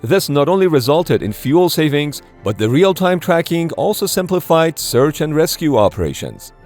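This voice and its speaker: A man reads scripted documentary narration in a voice pitched a little above average. His delivery is measured and explanatory, with light music playing underneath. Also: sing-song, a little mellow.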